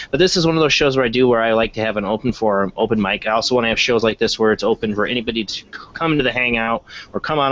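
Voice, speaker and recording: A man's voice: on the low side, fairly bright tone, muffled recording, slight background noise. A man casually hosting a paranormal call-in podcast, talking in a rambling, informal and repetitive way. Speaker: host of a paranormal call-in show.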